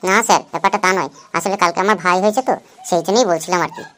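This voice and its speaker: A woman's voice: on the low side, rapid-fire, fairly bright tone, slight background noise, lightly acted. A woman voicing a cheeky young schoolboy in a comedy cartoon, glib and pleading with excuses. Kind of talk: Bengali comedy cartoon dub.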